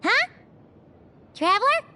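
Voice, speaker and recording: A woman voicing a tiny, curious, chatty fairy companion in a fantasy game, with a squeaky childlike voice. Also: very animated, slow, sharp tone, full character voice. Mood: puzzled, curious. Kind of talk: cartoonish fantasy video game voice acting. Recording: clear background music under the voice.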